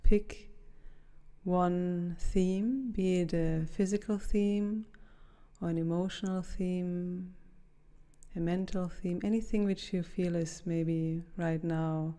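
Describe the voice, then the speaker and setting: Soft, very slow woman guiding meditation. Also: lowish voice, fairly even intonation. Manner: gentle, soothing, unhurried with long pauses. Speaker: meditation guide. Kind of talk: guided meditation.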